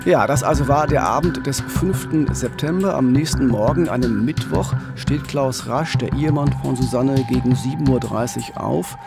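A man's voice: medium pitch, moderate pace, vocal fry, few pauses, music in the background. A middle-aged man hosting a true-crime podcast, telling the story conversationally into a studio microphone. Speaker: podcast host. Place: radio studio. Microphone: studio microphone up close with pop filter.

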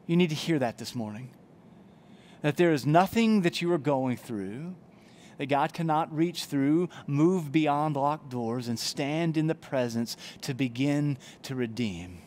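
A man's voice: on the high side, darkish tone. A man in his forties, a pastor, preaching an earnest sermon in a church chapel on a headset mic. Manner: earnest, gestures broadly while preaching. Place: church chapel, indoors, before brick wall.

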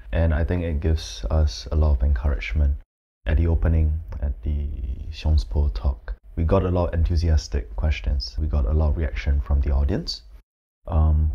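A man in his thirties from Malaysia, a photographer, answering interview questions indoors in a measured, thoughtful way with frequent ums. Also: extremely low pitch, husky, dull audio. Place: indoors, plain-walled room.

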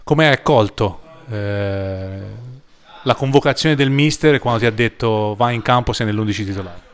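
Male sports reporter, fairly low voice, long winding questions. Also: normal speed. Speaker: interviewer. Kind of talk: post-match interview questions.

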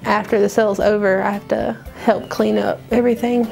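A woman's voice: medium pitch, little pitch movement, fairly quick, vocal fry, a little mellow. A woman explaining her job in a documentary interview. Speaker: family auction worker. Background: faint music.

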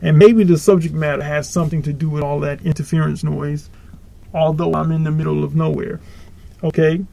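A man's voice: fairly high pitch, steady tone, fairly quick, gravelly, mellow, poor audio. A man preaching informally on his own podcast, rambling and correcting himself as he goes. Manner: rambling, self-correcting, earnest. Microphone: home podcast microphone.

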